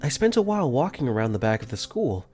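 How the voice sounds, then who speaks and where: A man narrating his own story in first person, earnest and quick to get flustered. His pitch moves a lot as he tells it. Soft music plays behind him. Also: sing-song. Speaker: narrator and lead in fan dub.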